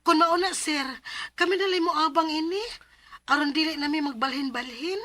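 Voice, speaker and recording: A woman's voice: sits high, steady tone, normal speed, bright, forceful tone. A woman acting and narrating as an earnest, respectful young daughter in a Cebuano Filipino radio drama. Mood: polite, anxious. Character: earnest, respectful young daughter telling her family's problem.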